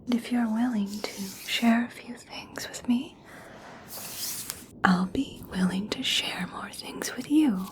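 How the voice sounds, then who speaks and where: A woman playing a gracious, coaxing elf princess in a whispered ASMR roleplay, speaking softly and soothingly with formal politeness. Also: on the high side. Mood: coaxing, veiled. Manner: soft, slow, soothing, formal. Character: regal elf princess, gracious, coaxing, subtly conditional kindness.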